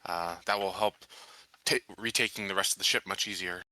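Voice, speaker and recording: A man with a low but very sharp, bright voice plays a tabletop roleplaying game. His talk is casual, and the sound is dry and close. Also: fairly even intonation, brisk, no echo.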